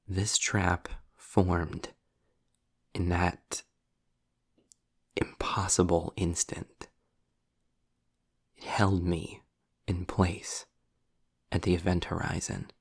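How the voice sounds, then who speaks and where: A man playing a sarcastic, worldly demon in an intimate audio roleplay, speaking in a low, gravelly, breathy voice with dry, deliberate pauses, often slipping toward a whisper. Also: full character voice. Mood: grave, brooding. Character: sarcastic, worldly male demon, trapped, grudgingly explaining.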